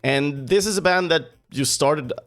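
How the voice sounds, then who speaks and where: A man's voice: fairly high pitch, a little slow, a bit bright. A man hosting a casual podcast interview, upbeat and enthusiastic, often laughing. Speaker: podcast host.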